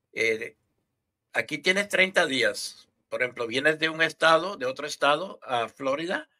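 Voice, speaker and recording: A man in his sixties from Puerto Rico, with a Puerto Rican accent, explaining things haltingly as a guest on a video call. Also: mid-range voice, bright, forceful tone. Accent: Puerto Rican Spanish. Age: 60s. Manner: halting, repeats words while explaining. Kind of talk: casual interview answers. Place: home room, indoors. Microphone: headset mic on video call.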